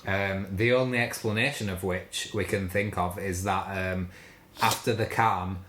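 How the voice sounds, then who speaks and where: Man in an echoey room, lowish voice. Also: steady tone, unhurried, fairly bright tone. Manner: critical, incredulous. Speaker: podcast co-host. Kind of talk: casual panel discussion. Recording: roomy echo.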